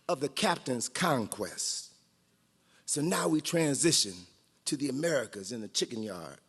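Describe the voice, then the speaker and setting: Animated male storyteller in a reverberant hall. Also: on the high side, breathy edge. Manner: playful, dramatic, with joking asides. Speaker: guest storyteller, author and historian. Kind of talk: animated read-aloud storytelling to live group. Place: large hall at a training event.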